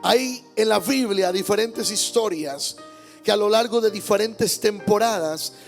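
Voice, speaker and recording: A man's voice: sits high, measured pace, vocal fry, faint music behind. A male pastor preaching a Sunday sermon in church, emphatic, repeating key words and calling out amens. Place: church service.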